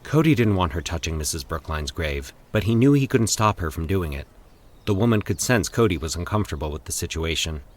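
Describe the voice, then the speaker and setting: Low-pitched man narrating scripted horror fiction. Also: animated, a little fast. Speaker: podcast host and story narrator.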